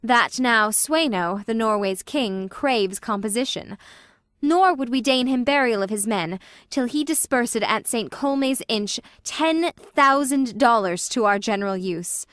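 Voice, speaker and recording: A woman's voice: a little high, animated, a little slow, a bit bright, no echo, studio quality. A woman playing a loyal noble thane delivering war news in formal verse for an audiobook drama. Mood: proud, formal.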